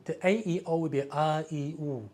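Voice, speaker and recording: A man's voice: on the high side, steady tone, moderate pace, a little mellow. A man hosting a live spiritual question and answer session, explaining ideas patiently and repeating key phrases.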